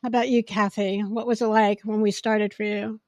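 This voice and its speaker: A woman hosting a podcast in casual chat, with a loud voice that projects when she gets excited. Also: medium pitch, normal speed, dry recording. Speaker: podcast host. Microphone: podcast microphone.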